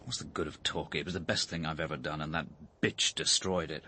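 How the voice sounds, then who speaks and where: A man's voice: extremely low pitch, fairly even intonation, brisk, pushed and bright, a little hiss or hum. An actor playing a bitter, defiant father under police questioning in a radio drama, curt and brusque. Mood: bitter defiance.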